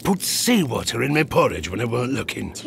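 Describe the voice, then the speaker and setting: Gravelly old sailor spinning yarns. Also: male voice, medium pitch, big pitch swings, slight background noise. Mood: wry, rueful. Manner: theatrical, rustic dialect, drawn-out suspenseful phrasing with chuckles. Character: weathered old male sailor, superstitious ex-harpooner, yarn-spinning and haunted. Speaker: storyteller in an audio drama. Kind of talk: dramatic first-person tale-telling, audio drama.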